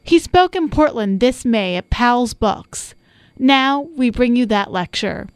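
A woman hosting a program, reading a scripted introduction to a recorded lecture in a clear, even way. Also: on the high side, a little slow, dry recording.

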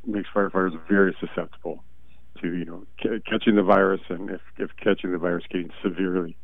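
A hoarse, congested man with a gravelly voice, speaking as a guest on a call. He talks slowly and haltingly and often trails off. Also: deep voice, steady tone, dry recording. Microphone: phone call. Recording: tinny phone line, dry.

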